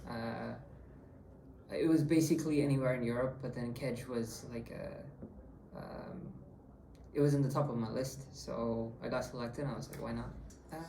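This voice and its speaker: A young man from India in his twenties, answering interview questions casually, halting with fillers. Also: mid-range voice, steady tone, gravelly, warm tone, reverberant, a little hiss or hum, rough recording. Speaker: student interviewee.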